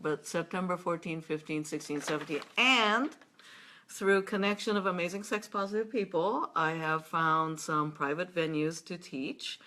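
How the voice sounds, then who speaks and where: A woman with a low voice that still sounds bright and forward, chatting on a live video stream. She talks in a playful, teasing way and keeps tossing in quick asides. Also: slight background noise. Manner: slow breathy whisper when demonstrating a seductive voice. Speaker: sex educator hosting a live video chat. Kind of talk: casual chat. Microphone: webcam or laptop mic on a live stream.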